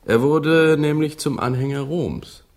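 An actor playing a proud, learned English gentleman in a literary radio drama, speaking formally with dry opinions. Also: on the high side, measured pace. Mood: dry, confiding.

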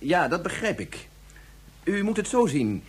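An actor playing an agitated physicist in a Dutch radio drama, speaking in an earnest, formal, explanatory way. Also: male voice, sits high, sing-song, unhurried, slightly rough, exaggerated voice. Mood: earnest defence. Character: agitated male physicist defending his invention.

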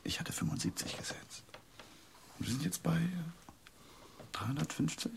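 Grumbling card player: low, creaky, sardonic, lively swings in tone, faint noise and clicks. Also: male voice, moderate pace. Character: grumbling, bossy male card player in a radio play.

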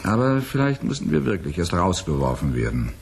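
An actor playing a cynical, hard-drinking biologist in a theatrical, sardonic radio drama style. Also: male voice, sits low, very animated, a little fast, creaky, a little hiss or hum, exaggerated voice. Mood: mocking. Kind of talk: 1980s German radio drama acting.

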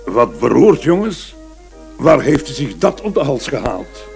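An actor playing a small, fat veteran gatekeeper in a radio play, nosy and philosophizing in a sententious way. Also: male voice, medium pitch, sing-song, measured pace, vocal fry, a little mellow, faint music behind. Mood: sympathetic.

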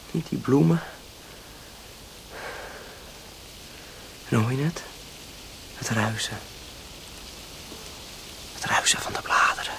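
An actor playing a tender, anxious young lover in a radio drama, earnest and halting, often murmuring. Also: male voice, medium pitch, sharp tone, heavily acted. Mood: awed. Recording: clear outdoor nature noise.

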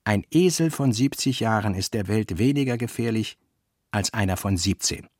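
An actor playing a brooding middle-aged novelist in a literary radio play, deliberate and terse, with a husky voice. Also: male voice, on the low side, a little mellow, dry recording, studio quality, close to an everyday voice. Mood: insistent, sardonic. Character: brooding middle-aged male novelist reading out his working notes.

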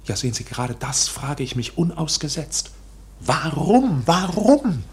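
A man's voice: fairly high pitch, sing-song, measured pace, a little mellow. An actor in a German radio play as an anxious, intense man under arrest, speaking formally and hesitantly with bursts of agitation. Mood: uneasy, formal.

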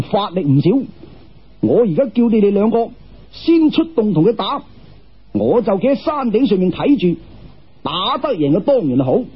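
男人扮嘅严肃道士师傅，声音沙哑. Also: male voice, sits high, husky, heavily acted. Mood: commanding. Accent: Cantonese. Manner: lecturing, solemn, commanding. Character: stern but merciful Taoist master with flying sword. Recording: dull, muffled radio-style recording.

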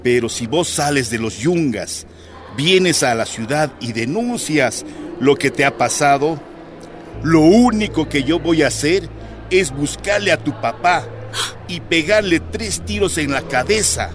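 A coldly menacing captain. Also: male voice, a little high, expressive, music in the background. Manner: slow, menacing. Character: male police captain, coldly threatening. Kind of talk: radio drama acting.

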